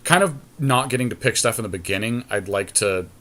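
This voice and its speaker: A man's voice: on the low side, animated, a little fast, bright, forceful tone. A man co-hosting a gaming podcast, giving casual commentary on an online card game with a friend and griping dryly with the odd mild swear.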